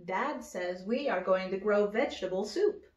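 A woman in her thirties reading a children's picture book aloud like an early education teacher, with simple, deliberate phrasing and little asides. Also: mid-range voice, animated, noisy background. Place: indoor room with wood-paneled wall. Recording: echoey room, slightly dull sound.

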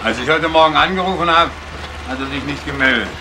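A man's voice: fairly high pitch, quick pace, natural voice. An actor playing a friendly, easygoing male employee in a German radio crime drama. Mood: friendly, casual. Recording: clearly noisy old radio play recording.

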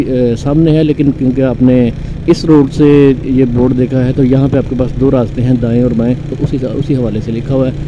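A man from Pakistan, calmly narrating a motorcycle ride through his city with many pauses and fillers. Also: medium pitch. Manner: calm, unhurried, pausing with frequent fillers. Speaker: motorcycle vlogger. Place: on a motorcycle on a city road, outdoors. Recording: dull lo-fi sound with road and traffic noise.

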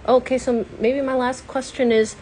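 A woman interviewing a guest on a phone live stream in a bookstore gallery, encouraging her with soft replies. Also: high voice, little pitch movement, moderate pace, slight background noise. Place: bookstore art gallery, indoors.

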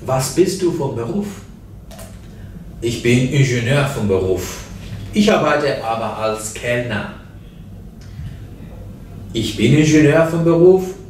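A man in his forties teaching beginner German, saying each phrase slowly and clearly, in a small classroom with a whiteboard. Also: a little high, a little hiss or hum. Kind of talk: slow listen-and-repeat language lesson. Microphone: camera mic from a few feet away. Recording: echoey room, mic at a distance.

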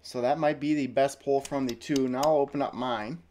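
A man in his thirties chats casually while opening trading cards on a live stream. His delivery is low-key and dry, often trailing into quiet mumbles. A faint hiss of noise sits under his voice. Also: steady tone, moderate pace. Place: indoor room full of card products.

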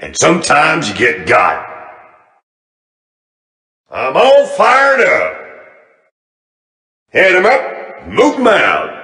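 An actor playing a cocky, menacing Old West gunslinger with a Southern American cowboy drawl, delivering theatrical video game lines. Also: male voice, mid-range voice, pushed and bright, echoey room, in a large hall, heavily acted. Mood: fired-up.

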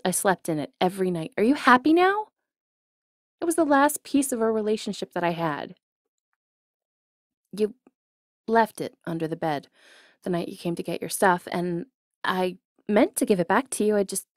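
A woman's voice: mid-range voice, normal speed, pauses often, no echo, lightly acted. Woman acting as teasing young ex-girlfriend, close and dry. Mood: wistful, vulnerable. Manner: teasing, expressive, addressing the listener directly. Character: jealous, teasing young college ex-girlfriend, playfully stubborn. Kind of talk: ASMR roleplay acting, intimate one-sided dialogue.

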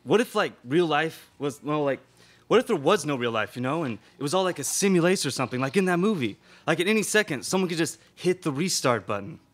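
A man's voice: fairly high pitch, lively intonation, fast talker. A young man playing a musing, casual moviegoer in student radio theatre dialogue. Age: young adult. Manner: casual, rambling. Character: musing young male moviegoer given to existential questions. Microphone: microphone on stand.

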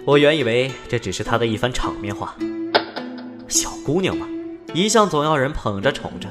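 An actor playing a chatty, teasing, genial immortal in an audio drama, with a playful, wry manner. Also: male voice, mid-range voice, very animated, moderate pace, natural voice. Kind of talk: Chinese audio drama acting. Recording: music behind the voice.

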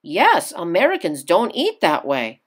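An eager young man courting his sweetheart in a storybook, voiced by a woman reader. He is playful and admiring, with emphatic, teasing lines that leap around in pitch. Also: huge pitch swings, unhurried, slightly rough, full character voice. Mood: amused, eager.

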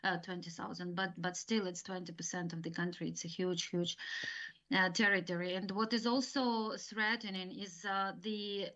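A middle-aged woman with a Ukrainian accent gives an informal slide presentation, explaining haltingly and repeating words. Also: fairly low pitch, steady tone, fairly bright tone. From eastern Ukraine. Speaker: guest speaker, communications specialist. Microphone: video call. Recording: dull, compressed video-call audio.

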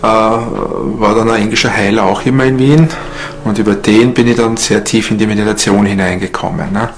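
A man's voice: on the low side, fairly quick. A man speaking as a meditation teacher. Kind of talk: guided meditation and interview talk. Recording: music behind the voice.

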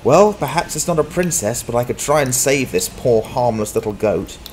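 An actor playing a hapless, wry adventurer who mutters and stammers in a dramatized fantasy audiobook. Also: male voice, medium pitch, lively intonation, normal speed, a little mellow, a little hiss or hum, natural voice, low-quality recording. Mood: startled, stammering. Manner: muttering to himself, stammering, sardonic.

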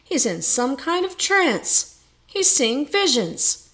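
A woman voicing an excitable, gullible older carpenter in a storybook tale, pious, proud and given to blustering exclamations. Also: fairly high pitch, measured pace. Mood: awestruck.

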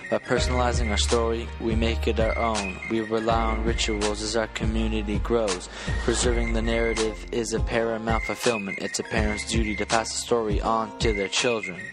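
A man's voice: on the low side, level tone, brisk, few pauses. A man narrating in rhymed spoken word, with a rhythmic, declamatory delivery. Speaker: narrator and interviewer. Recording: loud music behind.

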